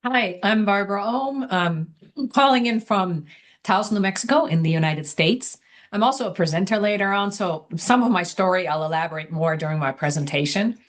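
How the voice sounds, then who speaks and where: An older woman from Germany, introducing herself in a measured, articulate way on a video call. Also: mid-range voice, animated. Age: older, semi-retired. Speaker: coach, former healthcare executive. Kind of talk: self-introduction in an online meeting.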